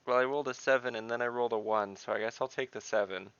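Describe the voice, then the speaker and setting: A man playing an online tabletop game, chatting casually and haltingly as he thinks through his moves. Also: medium pitch, fairly even intonation, a bit bright, smooth, no echo, muffled recording.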